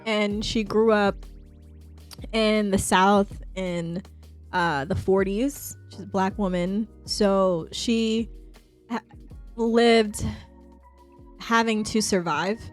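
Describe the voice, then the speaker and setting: A woman speaking as a guest on a podcast, relaxed and candid in casual conversation, laughing easily. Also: mid-range voice, drawn-out words, long pauses, faint music behind.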